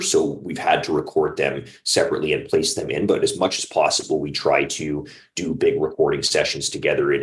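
A man with a very low voice chats as an interview guest. He is a voice actor who explains his work conversationally, often tagging thoughts with a questioning check for agreement. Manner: conversational, seeks agreement with "right?". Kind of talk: casual interview chat.

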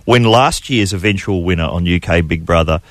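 A man's voice: low voice, brisk, no echo. A middle-aged man with an Australian accent hosting radio banter, reading odd news items with wry asides. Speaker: radio host.

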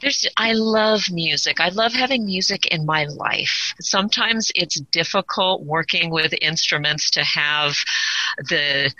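A woman's voice: low-pitched, bright, forceful tone, no room sound. A chatty, upbeat Californian woman talking casually as an interview guest, often amused at herself. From Southern California, United States. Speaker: podcast guest, author. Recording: dry, slightly dull audio.

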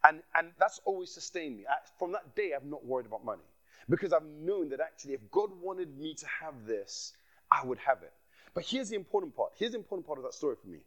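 A man from Nigeria delivers a sermon as a guest preacher, with a voice pitched a bit high for a man. He preaches with passion, circles back to repeat his phrases for emphasis and laughs at himself. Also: big pitch swings, quick pace, a bit bright. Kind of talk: sermon with personal testimony.

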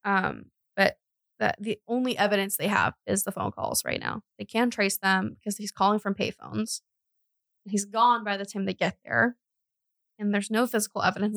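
A woman tells a true crime story in a chatty, conversational way, dropping in animated asides. Her voice has a noticeable creak at a middling pitch, and the sound is very dry, with little room around it. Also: no echo. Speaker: true crime podcast host.

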